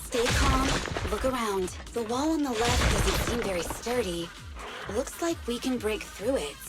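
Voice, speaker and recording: Calm, analytical deputy chief. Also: female voice, on the high side, unhurried. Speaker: deputy chief and intelligence officer. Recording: clear game music behind.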